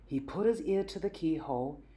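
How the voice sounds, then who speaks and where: A woman reading a children's story aloud in an animated, emphatic, expressive way. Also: low-pitched, normal speed. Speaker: storybook reader.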